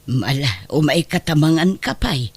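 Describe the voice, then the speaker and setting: A woman's voice: low-pitched, animated, unhurried, a little mellow, husky, dry recording, full character voice. A close, dry voice of a woman acting as a motherly neighbour in a radio drama. She is outspoken and concerned, with a scolding edge. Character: caring, outspoken older neighbour woman who employs the boy.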